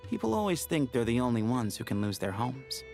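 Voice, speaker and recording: A man's voice: mid-range voice, very animated, brisk, gravelly, darkish tone, faint music behind. A man voicing a composed, friendly young desert temple guardian in a video game, with a storyteller's manner. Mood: reflective.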